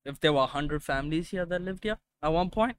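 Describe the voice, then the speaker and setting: A man's voice: fairly high pitch, fast talker, smooth, no echo. A young man from India in casual chat, swearing a lot, laughing often and telling loose stories.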